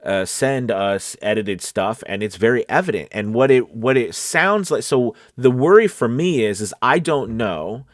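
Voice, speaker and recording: A man's voice: medium pitch, lively intonation, unhurried, hardly any pauses, no echo, clean studio sound. A man talking casually and directly to viewers as a voice-over coach, stressing key words and often checking that they follow.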